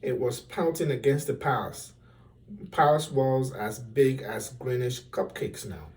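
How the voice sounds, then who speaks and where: Slow-reading man, slightly distant mic. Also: medium pitch, a little mellow. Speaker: storybook reader. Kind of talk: expressive children's story reading.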